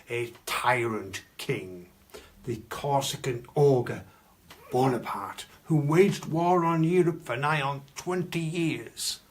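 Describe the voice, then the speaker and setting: A man playing a pompous Victorian gentleman collector, telling macabre tales in a grandiloquent, theatrical way with dramatic pauses. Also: mid-range voice, close to an everyday voice. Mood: grave, dramatic. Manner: grandiloquent, archaic phrasing, dramatic pauses and stresses. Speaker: costumed storyteller. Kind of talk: theatrical live storytelling.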